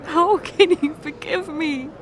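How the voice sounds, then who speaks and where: A young woman performing an emotional roleplay confession, halting and tearful, with many sighs and restarts. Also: sits high, crowd noise. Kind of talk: emotional ASMR fiancée roleplay monologue.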